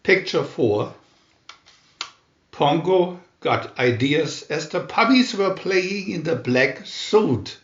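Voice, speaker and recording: A man narrating a children's story in a deliberate, expressive read-aloud manner. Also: on the high side. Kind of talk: scripted children's story reading. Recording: roomy, echoey, dull sound.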